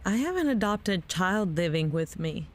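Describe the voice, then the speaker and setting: A woman's voice: on the low side, very animated, a little slow. A woman playing a shaken, disbelieving character, anxious and questioning, in an audio drama. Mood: shaken, afraid. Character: shaken, disbelieving woman fearing for loved ones.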